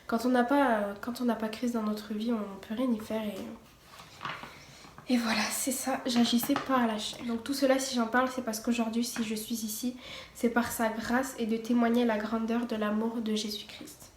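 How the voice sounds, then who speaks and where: A woman's voice: fairly high pitch, little pitch movement, moderate pace. A teenage girl giving a casual personal testimony, partly read from notes, hesitant with many fillers and restarts. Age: teens. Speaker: vlogger. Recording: echoey room, faint background noise.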